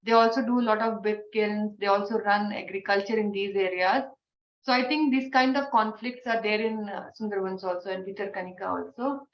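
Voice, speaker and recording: A woman with an Indian English accent, hoarse from a cold, giving thoughtful advice in an online training Q&A with many fillers. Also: mid-range voice, fairly even intonation, brisk. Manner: thoughtful, advisory, frequent fillers. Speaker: invited expert speaker. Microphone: video call. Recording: dull, roomy online call audio with faint noise.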